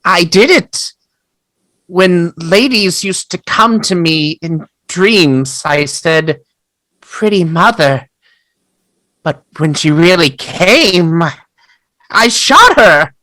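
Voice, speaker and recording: A woman's voice: lowish voice, animated, very slow, pauses often, fairly bright tone, no echo, full character voice. A woman playing a naive, eager little boy in an audio play, with an earnest, dramatic delivery. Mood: remorseful.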